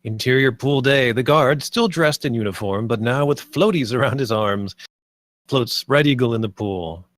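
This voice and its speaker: A man's voice: medium pitch, normal speed, a bit bright, no echo, clean studio sound. A man reading stage directions as scripted narration at a screenplay table read.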